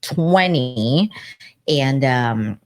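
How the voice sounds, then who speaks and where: A woman's voice: expressive, very slow. A woman from Brazil with a Brazilian Portuguese accent, co-hosting a casual podcast and speaking in an earnest, emphatic way. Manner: earnest and emphatic, swinging high in pitch. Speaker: co-host. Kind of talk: casual conversation.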